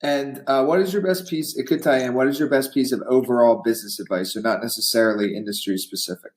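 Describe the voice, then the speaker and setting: A man hosting a business podcast, reading an ad and putting prepared questions to his guest in a steady way. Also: medium pitch, fast. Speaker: podcast host. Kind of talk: podcast interviewing.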